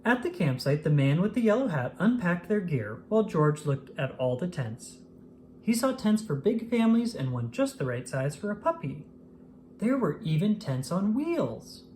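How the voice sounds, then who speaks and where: A narrator reading a children's picture book aloud in an expressive, scripted storytelling style. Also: medium pitch, normal speed, a little hiss or hum. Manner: expressive, acts out exclamations and dialogue.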